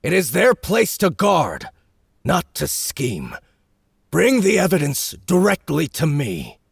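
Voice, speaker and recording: Guy doing a big bossy baron, talks slow and heavy, super dry audio. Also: fairly high pitch, wildly swooping pitch, creaky, breathy edge, no echo, voice pushed up, heavily acted. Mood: commanding. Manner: slow, measured and domineering. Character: huge shrewd commanding male baron.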